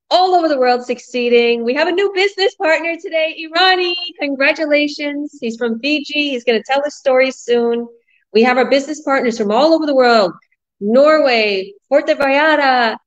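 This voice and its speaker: A woman hosting an online business talk over a video call, pitching with enthusiastic, repetitive sales energy. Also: moderate pace. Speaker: host. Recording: muffled video call audio.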